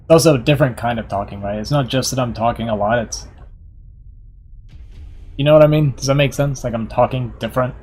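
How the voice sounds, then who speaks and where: A man streaming and co-casting esports, chatting casually while losing his voice and clearing his throat often. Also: medium pitch, a little fast, pauses often, a little mellow, soft background music. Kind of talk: casual chat.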